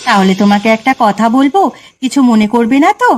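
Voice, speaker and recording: Casting: female actor for affectionate cartoon housewife, quite high register, eager and sweetly coaxing. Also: very animated, quick pace, no echo, soft background music.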